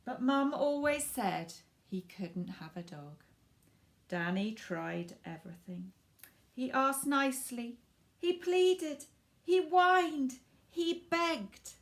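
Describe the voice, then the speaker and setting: A woman reading her picture book aloud for children in an expressive, emphatic way, with asides to the young listeners. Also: high voice, slow pace. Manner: addresses young listeners directly. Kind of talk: children's storybook read-aloud with asides to viewers.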